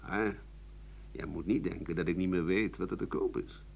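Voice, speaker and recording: An actor playing a bitter, sardonic old doctor-writer, grumbling theatrically through a radio play monologue. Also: male voice, low voice, steady tone, moderate pace, gravelly, full character voice. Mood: mocking boast. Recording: muffled old radio broadcast recording.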